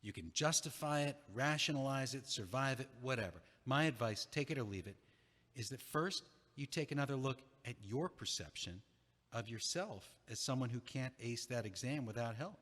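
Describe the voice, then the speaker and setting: A man's voice: mid-range voice, animated, moderate pace, a bit bright. An actor playing an older male friend, exasperated but caring, in an audio play. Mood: earnest, caring. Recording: echoey hall with long reverb.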